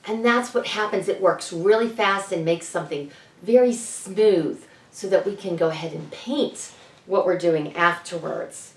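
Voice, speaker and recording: A woman's voice: lowish voice, unhurried. A woman presenting a children's storytime in a teacherly way, putting questions to young listeners. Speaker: children's author presenting at a library story event. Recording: roomy echo, distant microphone.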